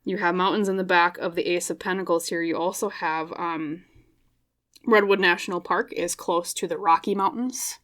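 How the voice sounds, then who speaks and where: Slightly hoarse woman doing a rambling tarot reading. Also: on the low side, steady tone, moderate pace, fairly bright tone. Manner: rambling and reflective, tag questions like "okay?". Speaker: tarot reader. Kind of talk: intuitive pick-a-card tarot reading. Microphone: microphone.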